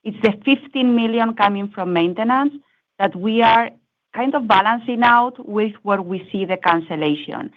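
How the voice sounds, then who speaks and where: A woman's voice: mid-range voice, normal speed. Formal female executive on a muffled call. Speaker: company executive answering analysts. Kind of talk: prepared corporate earnings call answers. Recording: muffled lo-fi conference call audio.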